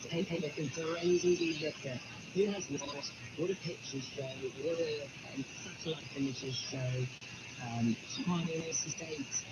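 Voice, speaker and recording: A man presenting news, putting questions in a halting, conversational way. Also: on the high side. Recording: very noisy shortwave radio.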